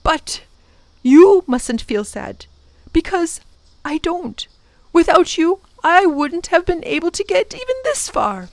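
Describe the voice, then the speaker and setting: A brave, kindly old professor speaks with formal gentleness, as a woman reads him aloud. The voice sits high and moves at an unhurried pace. It sounds close and dry, with no room around it. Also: very animated, no room sound, full character voice. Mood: tender. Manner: gentle and formal, bursting into defiant shouts.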